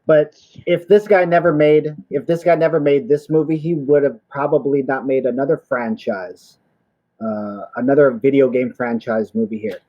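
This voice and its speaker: A man's voice: medium pitch, fairly even intonation, normal speed. A man co-hosting a show in casual, slangy, jokey chat, recorded with slightly dull, lo-fi sound. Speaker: co-host of a retro pop culture show.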